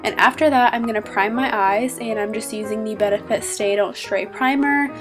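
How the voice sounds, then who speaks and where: A woman's voice: fairly high pitch, normal speed, music in the background. A young woman with a stuffy cold, chatting upbeat through a makeup tutorial in her small bedroom and naming each product as she goes. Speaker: beauty vlogger.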